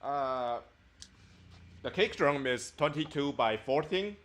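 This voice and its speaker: Taiwanese man, drummer guest, slow and hesitant, brief answers. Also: mid-range voice, lively intonation, fairly bright tone. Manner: brief, matter-of-fact, hesitant. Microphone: talkback mic in the drum room.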